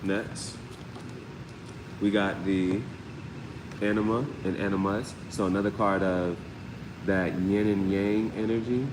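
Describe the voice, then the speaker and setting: Man, low-pitched voice, casual tarot host, slangy, repeats phrases, echoing room, noticeable background noise. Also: slow, pauses often. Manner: repeats phrases, peppers talk with slang and sound words. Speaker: tarot reader, host of a live reading show. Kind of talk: casual live tarot reading, spoken off the cuff. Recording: roomy long reverb, clear steady background noise.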